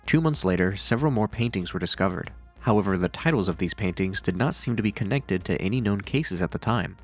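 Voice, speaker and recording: A man's voice: fairly low pitch, animated, brisk. A man narrating scripted commentary on horror with casual, dryly sardonic asides. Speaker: video narrator and reviewer. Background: faint music.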